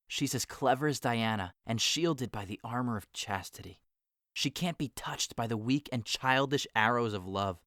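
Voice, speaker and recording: A man's voice: medium pitch, a bit bright, no room sound, close to an everyday voice. Man voicing a lovesick young man, melancholy, sighing, dry close studio sound. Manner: sighing, wistful, swings into passionate outbursts. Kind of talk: audio drama acting.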